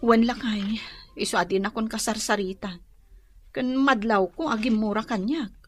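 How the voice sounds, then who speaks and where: A woman playing a hurt, soft-hearted young woman in an Ilocano radio drama, plaintive and troubled, with an Ilocano accent. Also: on the high side, normal speed, faint music behind, close to an everyday voice.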